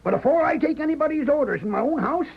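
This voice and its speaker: A man's voice: very high-pitched, lively intonation, fast. An actor playing a stubborn, indignant rancher father in a 1940s radio western, crusty and folksy. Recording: muffled, noisy vintage 1940s radio recording.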